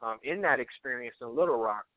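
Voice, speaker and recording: A man's voice: medium pitch, expressive, dry recording. Man on a tinny phone line. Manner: earnest, halting, repeats words. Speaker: guest, network marketer and area manager. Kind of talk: conversational testimonial on a conference call. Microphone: telephone conference call.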